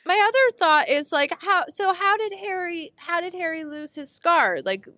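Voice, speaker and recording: A woman's voice: extremely high pitch, lively intonation, normal speed, sharp tone, dry recording, clean studio sound. A woman co-hosting a podcast in casual chat, wry and joking. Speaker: podcast co-host.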